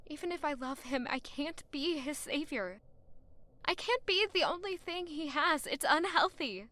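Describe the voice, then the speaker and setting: A woman's voice: high voice, lively intonation, unhurried, bright, forceful tone, no room sound, heavily acted. An actress playing a young woman who anxiously reflects on the man she loves, in inner narration. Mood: troubled.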